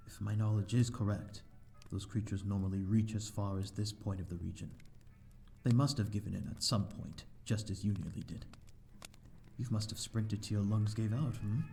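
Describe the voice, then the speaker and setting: A man's voice: on the low side, creaky, dark tone. A man playing a courteous, lonely vampire host in an ASMR audio roleplay, speaking in a formal, flowery, slightly flustered and hesitant way. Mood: sympathetic, intrigued. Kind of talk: ASMR audio roleplay, scripted character acting.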